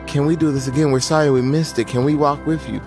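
A man's voice: fairly high pitch, fairly even intonation, fast talker. A man from Nashville telling his own story in the first person for a radio documentary. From Nashville, United States. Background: music bed.

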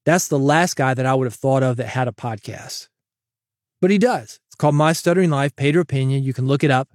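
A man's voice: medium pitch, a little fast, dry recording, clean studio sound. A man hosting his own solo podcast, talking casually and coaching listeners, often calling them "man". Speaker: podcast host.

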